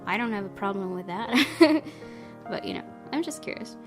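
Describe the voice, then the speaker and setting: A woman's voice: mid-range voice, animated, moderate pace. A woman hosting a game playthrough, reading story narration expressively and adding casual commentary. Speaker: gaming video host. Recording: game music behind.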